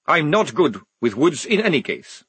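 A man's voice: on the high side, very animated, moderate pace, fairly bright tone, breathy edge, dry recording, voice pushed up, full character voice. Man voicing a jittery, sardonic young vampire. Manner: jittery, wry. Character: edgy, sardonic young vampire soldier craving coffee.

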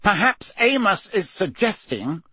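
A man performs a senior male official in a fantasy storybook. The delivery is cold, formal and cutting, husky and raised in pitch. It carries stern, indignant authority. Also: very animated, measured pace, sharp tone, dry recording, full character voice. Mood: cold anger.

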